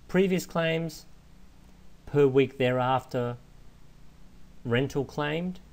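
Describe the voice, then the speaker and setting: A man giving a methodical, explanatory software walkthrough in the manner of a trainer. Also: medium pitch. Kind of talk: instructional screen walkthrough narration. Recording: clear steady background noise.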